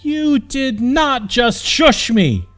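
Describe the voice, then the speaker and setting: A headstrong young princess from a storybook, acted out by a man, whiny and haughty. The voice is pitched up and swings widely as the princess insists on getting the royal way. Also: lively intonation, drawn-out words, fairly bright tone, soft background music, heavily acted. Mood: indignant rage. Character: spoiled, headstrong young princess, indignant and pleading.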